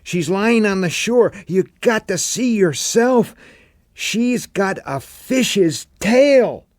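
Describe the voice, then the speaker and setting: An excited young boy, acted out by a man, pitched far above a grown man's talk and pushed out loud. He is urgent, awed and insistent, like a child in a radio storybook. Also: takes it slowly, dry recording, studio quality. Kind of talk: dramatic audiobook character voice.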